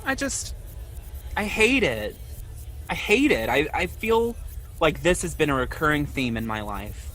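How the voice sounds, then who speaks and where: An actor playing a lonely, ashamed man in his twenties, speaking in a halting, confessional way in an audio drama. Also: on the high side, very animated, fairly bright tone, exaggerated voice. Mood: distressed. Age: 20s. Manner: halting, confessional, repeats himself. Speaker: podcast host acting in own audio drama.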